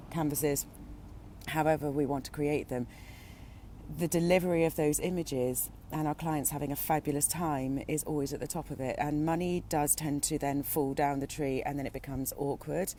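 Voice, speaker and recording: A woman's voice: low voice, a little hiss or hum. A woman who is an equine photographer, giving a chatty, encouraging impromptu talk to her group, a little stuffy from a cold. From Britain. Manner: chatty, encouraging, relaxed with filler ums. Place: out at lunch.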